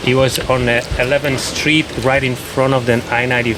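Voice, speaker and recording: A man's voice: mid-range voice, little pitch movement, brisk, noisy background. A middle-aged man from Poland with a Polish accent, telling his story as a guest in a casual podcast chat and often repeating words while he searches for them. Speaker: guest artist.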